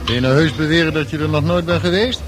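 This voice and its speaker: A man's voice: a little high, quick pace, lightly acted. A man acting a jovial, coaxing man about town in a radio drama. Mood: chummy, coaxing. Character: chummy, persuasive man about town, nightclub regular. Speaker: actor in a radio play. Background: music.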